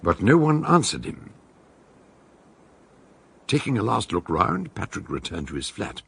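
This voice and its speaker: An actor playing a solicitous, well-spoken British doctor who narrates a radio drama in a formal, expressive manner, with a gravelly, husky voice. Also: male voice, lowish voice, unhurried, exaggerated voice. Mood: measured storytelling. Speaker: narrator and supporting character.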